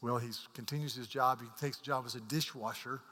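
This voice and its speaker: A man preaching a sermon as a pastor, earnest, repeating words as he rises to emphatic peaks and drops to hushed asides. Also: mid-range voice, steady tone, fairly quick. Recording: long hall reverb.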